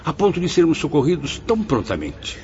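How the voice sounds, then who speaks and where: A man's voice: fairly high pitch, huge pitch swings, a little fast, darkish tone, a little hiss or hum. A man acting as the humble, remorseful soul of a suicide in a radio drama, speaking earnestly and reverently in formal phrases. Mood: earnest questioning. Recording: dull lo-fi audio with music behind.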